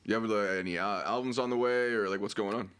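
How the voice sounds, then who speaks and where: A man's voice: fairly low pitch, steady tone, quick pace, fairly bright tone, smooth. Laid-back male interview host. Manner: laid-back, joking, asks questions. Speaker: podcast host. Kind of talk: casual interview.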